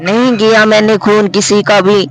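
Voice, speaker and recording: A man's voice: high-pitched, flat delivery, moderate pace, fairly bright tone, soft background music, pitched above the performer's own voice, low-quality recording. A man voicing a hot-tempered, defiant schoolgirl in a spoken story, with a brash, quarrelsome manner. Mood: pleading. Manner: brash, quarrelsome, pleading when accused.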